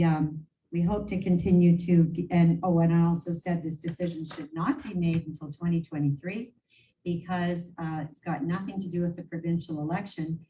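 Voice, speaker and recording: A woman's voice: low voice, warm tone. A woman reporting to a council meeting, chatty and rambling, with many fillers and restarts. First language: English. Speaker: regional council warden reporting to mayors. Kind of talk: meeting report, casual and unscripted. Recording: distant voice, faint room noise.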